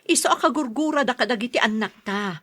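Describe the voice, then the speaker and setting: A woman's voice: a little high, expressive, fast talker, a bit bright, no echo. A woman with a Filipino Ilocano accent playing a patient, long-suffering middle-aged mother in a radio serial, pleading and soothing. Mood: pleading, upset. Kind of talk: radio serial drama acting.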